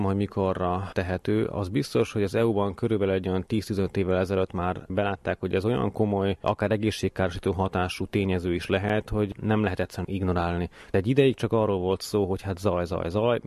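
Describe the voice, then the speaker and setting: Very deep, gravelly man explaining. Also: darkish tone, no echo. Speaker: university lecturer and noise expert being interviewed. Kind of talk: explanatory interview answers.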